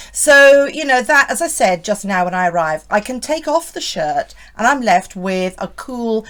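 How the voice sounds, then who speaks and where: Hoarse woman chatting about style. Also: medium pitch. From United Kingdom. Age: 50s. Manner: chatty, reassuring, often asks the viewer "isn't it". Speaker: style vlogger. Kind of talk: friendly scripted fashion vlog.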